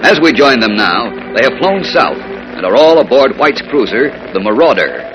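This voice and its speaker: A man's voice: mid-range voice, sing-song, moderate pace, muffled recording. A man announcing and narrating a 1940s radio serial in a polished, suspenseful manner. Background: loud music.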